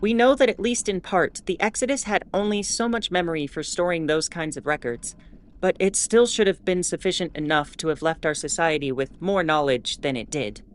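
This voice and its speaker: A woman playing a wry, mildly exasperated starship announcer in a scripted audio drama, explaining in a measured way with asides. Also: lowish voice, close to an everyday voice. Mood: explanatory. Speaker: host.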